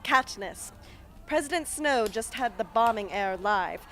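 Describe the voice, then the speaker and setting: A woman playing a brisk, articulate rebel film director in an amateur radio play. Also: medium pitch, expressive, pushed and bright, soft background music. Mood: urgent. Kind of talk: amateur radio drama acting.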